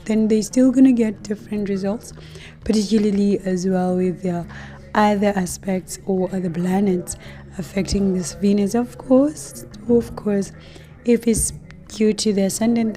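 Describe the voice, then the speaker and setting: A woman hosting an astrology talk to her viewers, hoarse and losing her voice, speaking casually with many fillers and soft laughs. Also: mid-range voice. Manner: slow, relaxed, many fillers and light laughs. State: losing her voice, deeper than usual. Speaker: astrology channel host.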